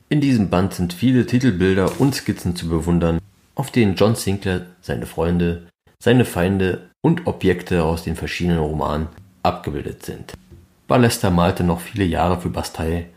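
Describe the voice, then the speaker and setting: A man's voice: low-pitched, darkish tone. A man hosts a fan podcast. He reads a prepared documentary-style narration, presenting it as a scripted story for listeners.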